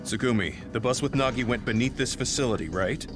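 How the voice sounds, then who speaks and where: Man as a steady, serious soldier over music. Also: mid-range voice, fast, close to an everyday voice. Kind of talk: anime-style game voice acting. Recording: music under the dialogue.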